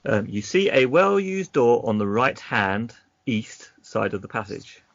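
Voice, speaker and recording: A man's voice: mid-range voice, very animated, a little slow, creaky, fairly bright tone, dry recording, highs cut off. A man narrating an adventure gamebook as game master, casually, breaking off into chatty asides.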